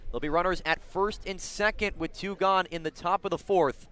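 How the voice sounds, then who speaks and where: A man with a slightly high voice does live radio baseball play-by-play. He describes each moment in detail and lifts his delivery for the big moments. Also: moderate pace, smooth. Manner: descriptive, builds excitement on big plays. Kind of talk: live radio sports commentary.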